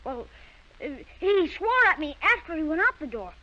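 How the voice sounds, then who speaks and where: A woman's voice: very high voice, sing-song, normal speed, pushed and bright, heavily acted. A woman acting the part of a sulky, stubborn young schoolboy in an old-time radio drama. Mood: evasive. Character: defiant, fibbing young schoolboy. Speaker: radio actor. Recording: dull, lo-fi vintage radio recording.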